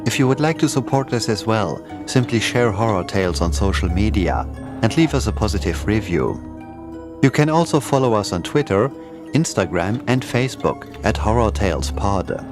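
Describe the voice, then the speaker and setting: A man's voice: lowish voice, sing-song, normal speed. A man hosting and introducing a podcast episode in a friendly, scripted announcing manner. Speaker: podcast producer and host. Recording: music bed under voice.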